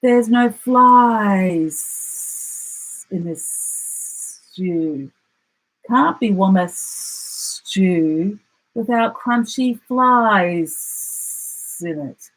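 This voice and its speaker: A woman's voice: mid-range voice, poor audio. A woman voicing a scornful old male blue-tongue lizard, hissing and drawing out the words. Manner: drawn-out, scornful.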